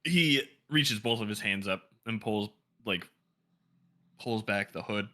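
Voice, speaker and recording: Bright-voiced man running a tabletop game. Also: fairly low pitch, unhurried. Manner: loose, laughing, filler-heavy narration. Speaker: game master. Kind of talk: narrating a live-streamed tabletop game.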